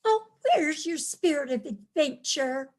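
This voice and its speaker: A woman acts a city wife on a country trip in a staged comedy, easily appalled. The voice is very high and swooping, paced slowly. The manner is expressive and reproachful. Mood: impatient. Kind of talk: staged reading of a comic play.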